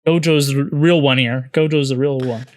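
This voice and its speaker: A man's voice: medium pitch, steady tone, fairly quick, no echo. A man co-hosting a podcast in casual chat, informal and often repeating words as he goes. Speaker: podcast co-host.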